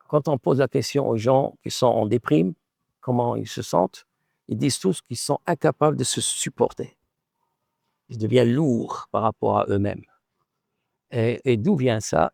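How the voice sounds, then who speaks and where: A man's voice: medium pitch, creaky, a little mellow, dry recording, studio quality. A middle-aged man from Morocco whose first language is Arabic, an author and professor answering interview questions in a thoughtful way, often repeating words while he searches for the phrasing.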